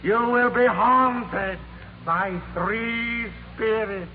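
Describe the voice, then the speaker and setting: An actor playing a mournful, doom-laden ghost in a 1940s radio drama, wailing and declaiming warnings. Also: male voice, high-pitched, very slow. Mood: solemn warning. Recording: tinny, reverberant, noisy old radio recording.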